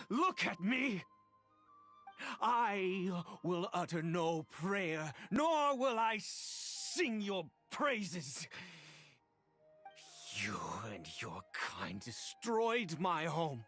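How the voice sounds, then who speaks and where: An actor playing a weary, unstable immortal man in a video game, with a husky, breathy voice and a halting, theatrical delivery. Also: sits high, very animated, a bit bright, soft background music, exaggerated voice. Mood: bitter, defiant. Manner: halting, theatrical, swinging between meek and manic. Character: weary, unstable, cursed immortal man, bitter toward gods. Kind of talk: video game character acting.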